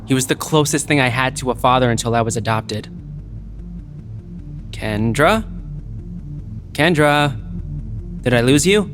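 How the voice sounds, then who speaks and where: A man's voice: mid-range voice, animated, a little slow, pauses often, a bit bright. A man playing an earnest, wistful teenage boy in an audio drama. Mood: wistful, troubled. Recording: music under the voice.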